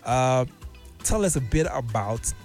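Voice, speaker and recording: Chill guy hosting a radio chat, mid-pitched voice, says "you know" a lot, faint music under it. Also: animated, measured pace, a little mellow. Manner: laid-back, repeats words, frequent fillers. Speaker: radio show host. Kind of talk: casual interview.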